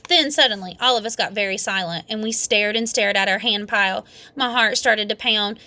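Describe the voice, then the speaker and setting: A woman reading a children's story aloud in expressive narration. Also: medium pitch, a little fast. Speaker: storybook reader.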